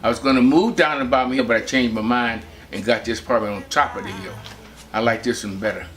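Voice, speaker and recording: A man in his seventies from Texas, recalling his family life in a relaxed, rambling way with many pauses. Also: mid-range voice, soft background music. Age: 70s. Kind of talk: personal reminiscence.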